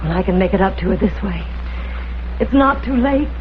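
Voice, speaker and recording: A woman's voice: mid-range voice, expressive, fairly quick, lightly acted. An actress playing a desperate mother bargaining with criminals, pleading and melodramatic, in an old crime drama. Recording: tinny, noisy vintage broadcast audio.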